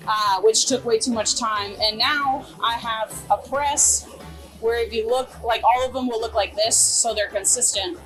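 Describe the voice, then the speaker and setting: Higher-pitched young American woman, slangy and enthusiastic. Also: a bit bright, low-quality recording. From Greensboro and High Point, North Carolina, United States. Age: young adult. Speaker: food truck chef. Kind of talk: casual cooking demonstration chat.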